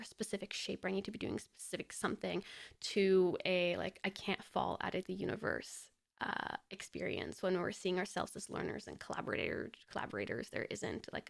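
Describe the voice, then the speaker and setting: A young woman from Poland speaks as a podcast guest over a video call. Her voice sits fairly low and has a gravelly, fried edge. She talks reflectively, circling back and restarting her thoughts into a boom-arm studio mic. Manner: thoughtful, often restarts phrases. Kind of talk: reflective casual conversation. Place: indoor room, video call. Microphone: studio microphone on boom arm.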